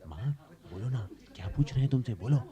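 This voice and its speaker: A man's voice: medium pitch, expressive, a little fast, crowd noise, natural voice. A man playing an eager grown son in a radio play, coaxing his old mother with affectionate exasperation. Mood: prompting. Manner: exasperated but affectionate, persuading.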